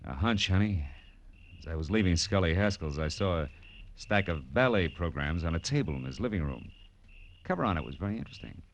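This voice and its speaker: An American actor playing a wry, world-weary private detective in an old radio drama, with slangy, clipped delivery. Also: male voice, sits low, animated, fairly quick, slight background noise. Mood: explaining, low-key. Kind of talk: 1940s-50s radio detective acting, first-person narration. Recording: vintage radio broadcast with music.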